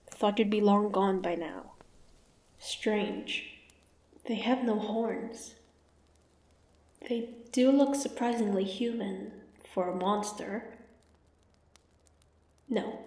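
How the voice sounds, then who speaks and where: A woman's voice: mid-range voice, a little mellow. A woman playing a proud, defiant monster hunter in a dramatic fantasy audio roleplay. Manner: haughty, taunting, dramatic. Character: proud, defiant young female monster hunter, grudgingly curious.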